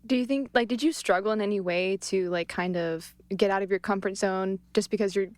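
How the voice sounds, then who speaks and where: A woman's voice: mid-range voice, brisk, no echo. Co-host, young woman, easygoing, mid voice, dry close recording. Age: young adult. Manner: relaxed, hesitant, frequent mm-hmms. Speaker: podcast co-host. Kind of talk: casual chat.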